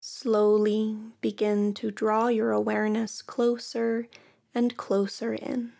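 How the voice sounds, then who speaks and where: A woman teaching yoga nidra, guiding a relaxation practice in a soft, soothing way with long pauses. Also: a little high, level tone, gravelly. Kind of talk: guided meditation.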